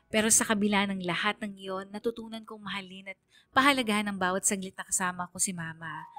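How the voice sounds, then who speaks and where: A woman's voice: mid-range voice, pushed and bright, close to an everyday voice. Woman as heartfelt teenage daughter narrating over music. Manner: heartfelt, earnest, dramatic pauses. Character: grieving, devoted teenage daughter telling her story. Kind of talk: first-person radio drama letter narration.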